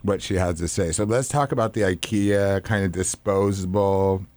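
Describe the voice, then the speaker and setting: Raspy-voiced radio host, low and dry. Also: male voice, steady tone, moderate pace, darkish tone, no echo. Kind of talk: casual radio talk show.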